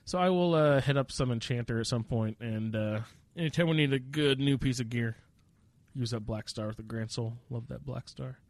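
A man chats his way through a video game in a loose, rambling way. He jokes at his own expense and sounds slightly sniffly from a cold, with a medium-pitched voice. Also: moderate pace, dry recording. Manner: rambling, self-deprecating, jokey. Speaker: gamer narrating own playthrough. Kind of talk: casual gameplay commentary.

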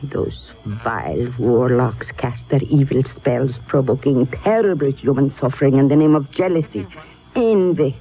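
A woman playing a crafty, stern old sorceress and teasing mentor in a 1970s radio drama, speaking in grand, deliberate phrases. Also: extremely low pitch, husky, exaggerated voice. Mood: grave, ominous. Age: older. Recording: dull, lo-fi vintage radio recording.

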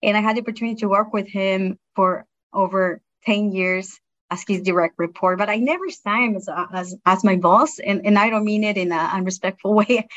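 The recording is a little muffled and lo-fi. A middle-aged woman speaks English as a second language, with a non-native accent. She talks in an earnest, appreciative way, like a guest reflecting in an interview. Her pitch sits in an ordinary female range. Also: brisk, a bit bright. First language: not English. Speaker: interview guest, produce industry science manager.

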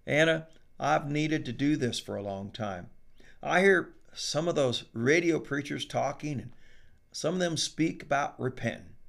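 A man doing a gruff old country man, earnest. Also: a little high, exaggerated voice. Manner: folksy country talk.

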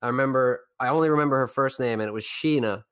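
A young man from Newfoundland, Canada, with a Newfoundland accent, co-hosting a casual podcast chat and telling rambling, animated stories. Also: medium pitch, fast, smooth. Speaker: podcast co-host.

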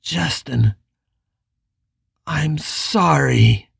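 A reader voicing a remorseful man, low and gravelly. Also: slow, a little mellow, dry recording, studio quality, exaggerated voice. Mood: pleading. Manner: emotional, pleading. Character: remorseful middle-aged man pleading with his lost lover.

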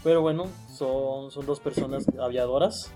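A man's voice: medium pitch, steady tone, normal speed, dark tone, faint music behind. A man with a Mexican accent hosting a casual comedy chat show, joking and playful. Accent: Mexican Spanish. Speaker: host.

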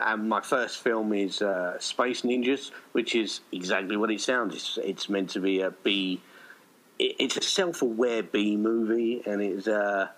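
Low-voiced man chatting casually in interview. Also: fairly bright tone, rough recording. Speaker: writer and director, podcast guest.

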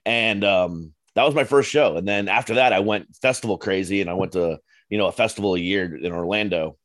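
A low-voiced man in his forties talks as a podcast host, earnest and rambling as he tells his stories. The sound is dry and close, with no room around him. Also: fairly quick, a bit bright, dry recording. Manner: earnest, storytelling, repeats himself. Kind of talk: casual podcast chat.

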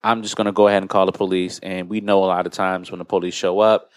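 Low-voiced American man hosting a podcast. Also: little pitch movement, quick pace, smooth, no echo. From United States. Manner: explanatory, recounting. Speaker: podcast host. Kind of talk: conversational podcast discussion.

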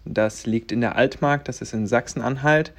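A man's voice: medium pitch, normal speed, a bit bright. A man in his twenties hosting a personal podcast, talking earnestly and rambling with many fillers. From Germany, raised in Latvia. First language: German and Russian, bilingual. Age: 20s. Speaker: podcast host.

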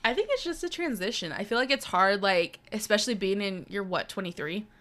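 A woman's voice: medium pitch, lively intonation, fairly quick, a bit bright. A woman in her twenties, chatting casually as a podcast co-host, full of "like" and "bro" and cheerful swearing. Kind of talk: casual chat with a friend.

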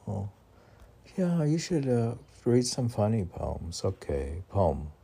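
Very deep, gravelly, slow-talking man. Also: very animated, mellow. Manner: rambling, conversational, asks and answers himself. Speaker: poet reading and commenting on own poems. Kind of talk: informal poetry reading with self-questioning commentary.

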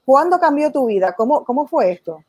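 A woman's voice: high voice, very animated, brisk, dark tone, no echo, studio quality. A woman hosting a conversational interview, informal and explanatory, often rephrasing her questions as she goes. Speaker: interviewer, host.

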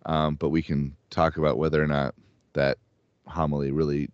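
A man's voice: very deep voice, flat delivery, moderate pace, clear, resonant tone, no echo, highs cut off. A man with a Midwestern accent, co-hosting a casual podcast discussion in a conversational way. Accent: Midwestern American. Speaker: podcast co-host.

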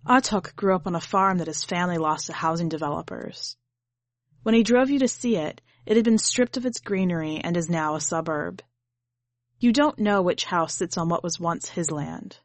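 A woman's voice: fairly low pitch, normal speed, no echo. Woman reading a story, dry close sound. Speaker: podcast host and story reader. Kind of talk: scripted literary narration in second person.

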